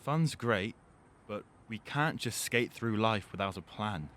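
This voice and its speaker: A man's voice: animated, unhurried, fairly bright tone. A young man playing an earnest, troubled teenage lad burdened by growing up, reflective in manner, in an amateur audio drama. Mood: earnest, reproving. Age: young adult. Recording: noisy amateur recording, environmental rumble.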